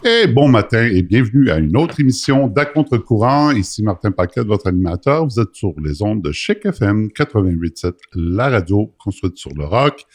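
A man's voice: fairly low pitch, sing-song, normal speed, few pauses. A man hosting a radio talk show, greeting listeners and questioning his guest. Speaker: radio host. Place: radio studio.

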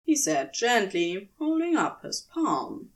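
A woman's voice: mid-range voice, very animated, slow pace. A woman narrating scripted fiction as a storyteller, in audiobook style.

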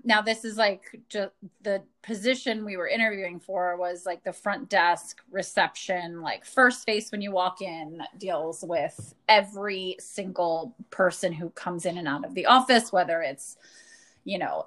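A woman co-hosting a casual podcast, gushing warmly about her guest and filling her talk with "like". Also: on the low side, unhurried. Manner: gushing, uses many "like" fillers. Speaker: podcast co-host.

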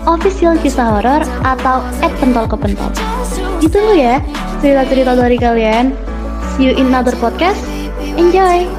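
Woman, high voice, casual scripted podcast promo, clear music underneath. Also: lively intonation, normal speed. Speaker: podcast announcer.

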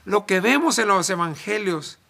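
A man from El Salvador, preaching a sermon in deliberate phrases with emphatic pauses. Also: high voice, animated, creaky, pushed and bright.

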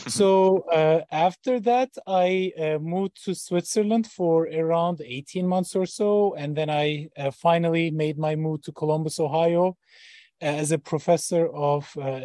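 A man's voice: fairly high pitch, fairly even intonation, normal speed, warm tone, no echo. A man in his forties from Turkey, a startup founder and professor, answering interview questions in a hesitant way with many fillers and restarts. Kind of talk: interview guest.

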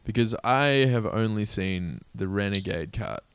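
A man's voice: fairly low pitch, lively intonation, unhurried, a bit bright, a little hiss or hum. A man in casual chat about movies, talking freely with swearing and playful quoted lines. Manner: chatty, swears, quotes lines in mock voices.

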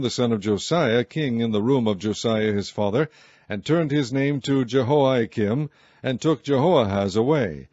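A man reading scripture aloud in archaic wording, with a measured, solemn delivery. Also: medium pitch, a little mellow, no echo, highs cut off. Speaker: Bible reader.

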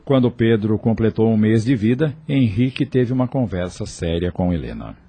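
A man's voice: on the low side, expressive, moderate pace, mellow. A man with a Brazilian accent, reading scripted narration for a radio drama. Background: music.

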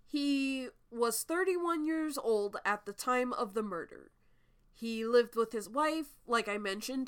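A woman's voice: fairly high pitch, fairly bright tone. Congested young woman hosting a podcast. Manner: chatty with frequent ums, laughs between lines. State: congested from a cold. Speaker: podcast host. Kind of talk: casual true crime podcast update.

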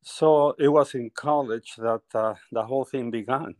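A man's voice: medium pitch, moderate pace, darkish tone, no echo. A middle-aged man from Peru, a painter telling his life story as a guest in a conversational interview, often laughing as he talks.

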